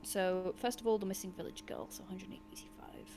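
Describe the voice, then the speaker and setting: A woman hosting and narrating an interactive gamebook, mixing expressive storybook reading with casual asides. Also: mid-range voice, a little fast, slight background noise. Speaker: host and narrator of a gamebook session.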